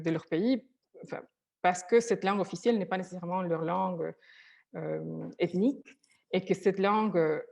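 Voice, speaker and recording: A woman's voice: on the low side, creaky, darkish tone. A woman therapist whose first language is Spanish, speaking hesitantly with many restarts and fillers.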